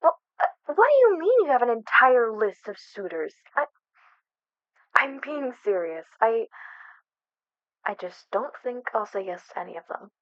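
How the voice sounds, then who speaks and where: A woman's voice: a little high, sing-song, unhurried, long pauses, no room sound, highs cut off. A young woman acting in an ASMR roleplay as a flustered best friend hiding a crush, speaking casually with hesitant stammers. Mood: exasperated, reluctant. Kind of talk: ASMR roleplay, one-sided conversational acting.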